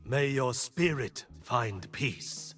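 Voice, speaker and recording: A male actor playing a stern, disciplined martial arts monk warrior in a video game, speaking in solemn, measured pronouncements. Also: medium pitch, expressive, a bit bright, faint music behind. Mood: solemn, grave. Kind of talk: game voice lines, combat barks.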